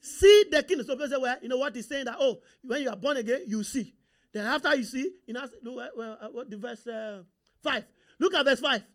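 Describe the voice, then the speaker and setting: A man with a Nigerian accent, Yoruba his first language, preaching a sermon. He talks in a fairly high voice and keeps throwing questions at the congregation, prompting them to answer and confirm they are following. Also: lively intonation, fairly quick, a bit bright. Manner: call-and-response, rhetorical questions to the congregation.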